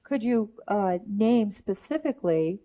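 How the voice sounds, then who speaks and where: A woman puts questions to a guest as a radio interviewer. Her voice is mellow and relaxed, sitting at a medium pitch for a woman. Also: a little slow.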